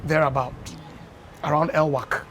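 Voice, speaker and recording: A Ghanaian man in his fifties, a former dance champion, tells unhurried stories as a guest on a breakfast TV interview, often adding "you know.". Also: a little high, little pitch movement, fairly bright tone, a little hiss or hum. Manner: anecdotal storyteller, unhurried with many "you know"s. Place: television studio.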